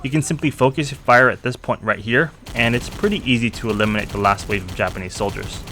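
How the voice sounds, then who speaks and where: A man's voice: medium pitch, fast talker, music in the background. A man giving informative gameplay commentary, explaining things methodically as a walkthrough narrator and adding his own opinions.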